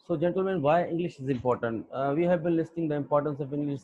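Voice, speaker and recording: A young Pakistani man with a Pakistani accent, lecturing an online English class in a halting way with many fillers. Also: fairly high pitch, darkish tone, no room sound. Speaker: English teacher. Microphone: computer mic on a video call. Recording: dull lo-fi video call audio.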